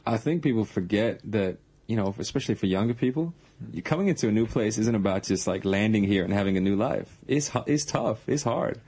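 A young man with a fairly low voice talks as a radio guest in a studio. He speaks earnestly, often circling back to restart and correct his phrasing as he explains his community work. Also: lively intonation, a little fast, darkish tone, breathy edge. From born in Iran, raised across the Pacific and Europe. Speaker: guest, community basketball organiser. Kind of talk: casual radio interview.

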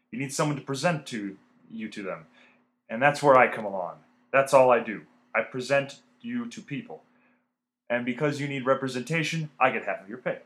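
A man's voice: medium pitch, fairly bright tone, natural voice. A man playing a hard-nosed, condescending showbiz agent in a radio play. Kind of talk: old-time radio play acting.

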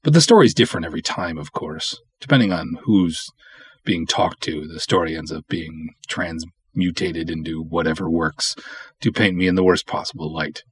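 A man's voice: sits low, moderate pace, breathy edge. A man hosting a solo podcast, giving candid advice in a blunt, opinionated, emphatic way. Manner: blunt, opinionated, emphatic, acts out imagined dialogue. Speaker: podcast host, independent film writer-director.

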